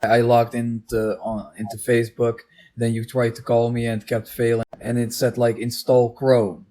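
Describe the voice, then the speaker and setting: A man's voice: medium pitch, flat delivery, moderate pace, warm tone. A man in a casual unscripted online chat, speaking hesitantly and repeating his words as he goes. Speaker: video co-host.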